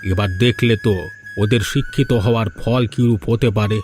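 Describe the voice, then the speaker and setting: A man playing a weary, regretful ageing village father in a Bengali cartoon, measured and grave in delivery. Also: medium pitch, fairly even intonation, a little mellow, a little hiss or hum, close to an everyday voice. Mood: bitter, regretful. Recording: background music under dialogue.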